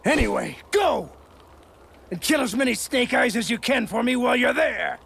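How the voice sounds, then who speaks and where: A man voicing a bitter, defiant townsman with a gravelly voice in a video game. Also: very high-pitched, lively intonation, normal speed, fairly bright tone, heavily acted. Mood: urgent, angry. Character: bitter, defiant oppressed townsman who lost family.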